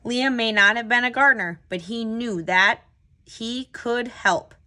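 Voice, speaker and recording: A woman hosting a children's read-aloud as a tutor, telling the story with clear, expressive phrasing. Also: fairly high pitch, unhurried.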